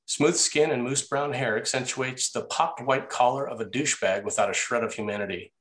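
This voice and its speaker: A man's voice: mid-range voice, a little fast, fairly bright tone. A man narrating the scene directions of a screenplay at a table read. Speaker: narrator reading stage directions.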